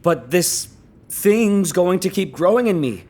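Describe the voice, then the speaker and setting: Audio drama: fretful, indignant man acted by a woman, raspy, animated pitch, naturalistic. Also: measured pace. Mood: panicked. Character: anxious, aggrieved man horrified at being pregnant.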